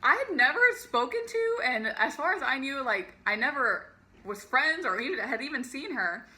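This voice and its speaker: Peppy, bright-voiced young woman vlogger. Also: big pitch swings, normal speed, low-quality recording. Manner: chatty, laughs often, lots of fillers; peppy raised voice over a lower natural one. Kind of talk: casual storytime monologue.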